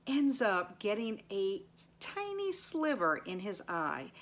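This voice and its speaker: A woman talks through books in a casual vlog style. Her pace is slow and unhurried, with a medium pitch for a woman. She leans on certain words for emphasis as she recaps each story. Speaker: book reviewer and readathon host; teacher. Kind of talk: casual book review vlog, recapping and summarizing stories.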